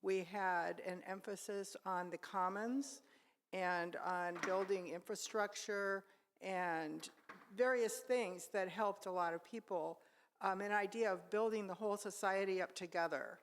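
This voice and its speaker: An older woman speaking up thoughtfully in a group discussion. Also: mid-range voice. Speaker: church class participant.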